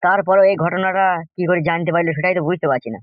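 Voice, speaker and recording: A man's voice: high voice, steady tone, racing, dry recording, phone-quality sound, natural voice. A man voicing a crude, fawning, chattering henchman loyal to a crooked boss in a comedy cartoon. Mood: baffled. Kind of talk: animated comedy cartoon dialogue.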